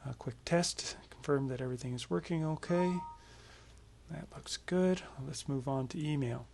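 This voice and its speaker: Creaky-voiced man giving a software walkthrough. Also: fairly high pitch, moderate pace, a little hiss or hum. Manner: informal, explanatory. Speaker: software tutorial presenter. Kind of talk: casual screencast walkthrough.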